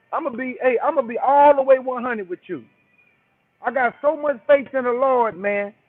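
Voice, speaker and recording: Guy calling in on his phone, real high voice, preachy, keeps saying man and bro. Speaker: call-in guest. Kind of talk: casual call-in chat. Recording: tinny phone line.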